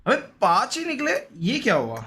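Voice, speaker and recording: A man's voice: high-pitched, wildly swooping pitch, moderate pace, background noise. A man giving casual, slangy live gaming commentary, often repeating short pleading phrases. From India. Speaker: gaming streamer.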